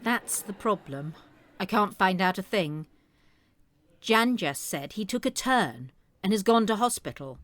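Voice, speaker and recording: An actress playing a suspicious, outspoken elderly care-home resident in an audio comedy, with an emphatic, sceptical delivery. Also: female voice, medium pitch, animated, unhurried, a bit bright, dry recording. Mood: indignant, suspicious. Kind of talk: audio comedy drama acting.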